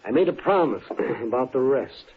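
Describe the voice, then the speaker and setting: An American actor playing a weary old frontier town doctor in a Western radio drama, hesitant and guarded in a folksy way. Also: male voice, mid-range voice, lively intonation, normal speed, lightly acted. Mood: reluctant explaining. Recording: tinny old radio recording with hiss.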